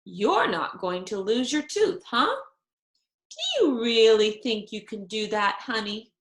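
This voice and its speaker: A patient, indulgent grandfather from a children's storybook, voiced by a woman. The questions are gentle and rise high with lively swings. The room is echoey. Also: slow, full character voice. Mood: gently doubtful. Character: kindly, patient grandfather humoring his granddaughter. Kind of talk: children's storybook read-aloud.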